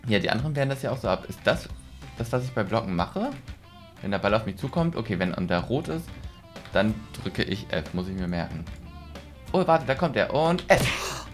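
A man's voice: fairly low pitch, big pitch swings, moderate pace, soft background music. A man in his twenties giving casual, self-deprecating let's play commentary, thinking aloud into a close desk microphone. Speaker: gaming video host. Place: small room at home, indoors. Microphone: desk studio microphone, close.

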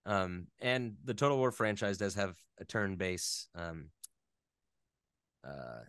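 A man speaking casually as an interview guest, rambling along with animated, geeky enthusiasm. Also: low voice, takes it slowly, clean tone, no room sound, studio quality.

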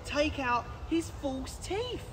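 Children's storyteller: man, twenties, expressive and projected, lively swings in pitch, outdoor recording with background noise. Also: takes it slowly. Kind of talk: animated picture-book read-aloud for children. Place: outdoors in woods, standing.

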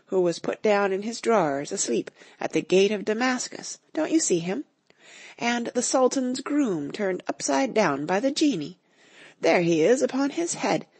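A woman voicing an old male miser in a storybook reading, excited and caught between laughing and crying. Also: medium pitch, vocal fry, husky, no room sound, heavily acted. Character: old male miser, overcome with nostalgic joy.

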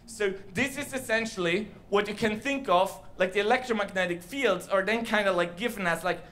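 High-voiced man on stage. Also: fairly quick, pushed and bright. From Switzerland. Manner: casual, explanatory, with fillers. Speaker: science slam presenter. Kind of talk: live science talk to an audience. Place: large dark theater stage. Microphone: handheld microphone.

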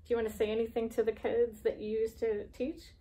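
A woman's voice: fairly high pitch, level tone, a little fast, mellow, poor audio. Woman talking to young children. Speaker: preschool devotion host. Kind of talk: casual chat and reading aloud for young children. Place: small classroom, indoors.

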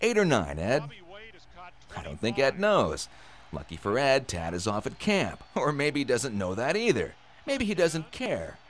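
An actor playing a menacing robber in a radio drama, taunting and sarcastic with a false friendliness. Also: male voice, medium pitch, huge pitch swings, unhurried. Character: menacing, mockingly friendly male robber posing as FBI agent.

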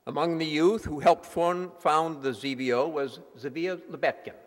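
A man reading scripted dramatic narration in a solemn, measured manner. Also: fairly high pitch, fairly even intonation. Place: large sanctuary hall before an audience. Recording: echoey hall with music behind.

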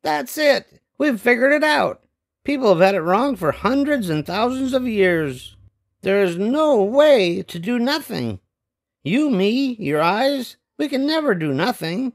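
An older man voicing restless, imaginative young boys in an expressive, dramatic storybook read-aloud. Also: very high-pitched, measured pace, gravelly, exaggerated voice. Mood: excited. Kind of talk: animated storybook read-aloud with character voices.

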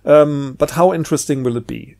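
A man's voice: on the high side, a little fast, creaky, darkish tone. A middle-aged man talking casually and unscripted about books, hesitant and rambling, often repeating words as he thinks aloud. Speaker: book reviewer.

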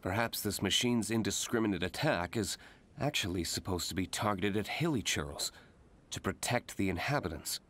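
A man's voice: deep voice, lively intonation, normal speed, a bit bright. A man voicing a brooding, aloof young swordsman in a video game, dry, serious and curt.

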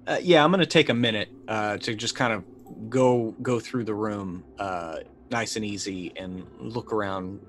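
A middle-aged man playing a tabletop roleplaying game, casually and haltingly describing what his character does, with music behind him. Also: on the low side. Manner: halting, thinking aloud. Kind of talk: casual chat, narrating his character's actions. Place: indoor room, video call. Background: background music.

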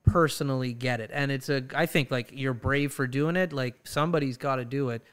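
A man hosting a casual morning talk show from a small studio, upbeat and animated, with direct questions for his guest. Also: mid-range voice, brisk, clean tone. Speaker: podcast host. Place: small studio room with armchairs. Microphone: boom-mounted studio mic.

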